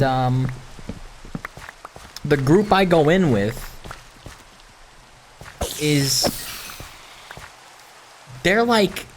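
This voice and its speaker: A man hosting a gaming video, rambling casually in a halting, self-conscious way with some swearing. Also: on the high side, a little hiss or hum. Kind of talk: casual rambling chat while playing.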